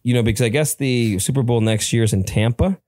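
A man's voice: mid-range voice, quick pace, a little mellow, dry recording, clean studio sound. Rambling man, Spanish his first language. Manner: rambling, many restarts and fillers. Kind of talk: casual chat.

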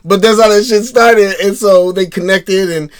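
A man co-hosting a casual podcast, hoarse because he is still losing his voice, talking laid-back slang. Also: sits high, fairly even intonation, normal speed, a crowd in the background. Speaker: podcast co-host. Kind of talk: casual chat.

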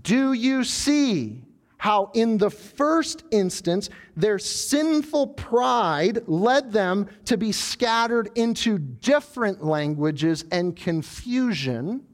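Lively, animated male pastor preaching. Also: sits high. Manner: animated preaching, voicing the proud tower builders. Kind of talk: sermon.

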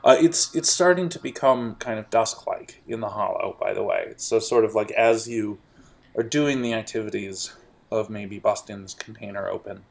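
A man narrating a tabletop role-playing game as game master, halting with fillers and restarts. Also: lowish voice, creaky, darkish tone.

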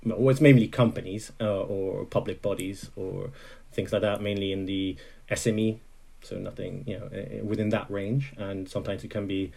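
A man's voice: fairly low pitch, flat delivery, moderate pace, gravelly, a little mellow. A man from Italy, speaking as an interview guest in a thoughtful way, often restarting his sentences. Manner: thoughtful, full of restarts and fillers. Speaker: guest, software engineer. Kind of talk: interview conversation.

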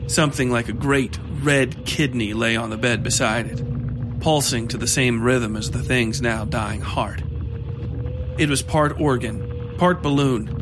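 A man narrating scripted horror fiction in a measured, literary storytelling manner. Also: mid-range voice, noisy background.

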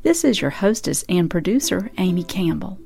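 A woman's voice: lowish voice, very animated, normal speed, creaky, a little mellow, soft background music. A woman from the United States reading scripted narration as the host of a radio show and podcast.

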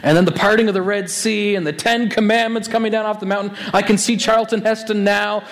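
Male pastor, raspy and hoarse, fairly high voice, chatty sermon delivery full of restarts. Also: brisk. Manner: conversational, animated with many restarts.

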